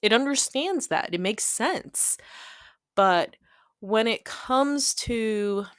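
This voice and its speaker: A woman's voice: fairly high pitch, lively intonation, slow pace, a bit bright, smooth, dry recording, clean studio sound. A woman chatting casually and digressively over a hobby craft video, self-deprecating and giggly, often voicing her own thoughts as she goes. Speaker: hobby planner video creator.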